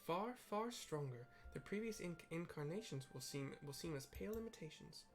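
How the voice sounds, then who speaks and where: A man's voice: fairly high pitch, lively intonation, normal speed, natural voice. A man voicing an earnest, dutiful female leader in a fantasy game, speaking in formal, old-fashioned phrases with careful diction. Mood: grave, concerned.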